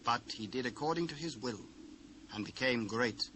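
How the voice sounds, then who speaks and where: A man's voice: medium pitch, expressive, moderate pace, noisy background. A British man narrating scripture in a solemn, formal storytelling manner for a dramatised reading.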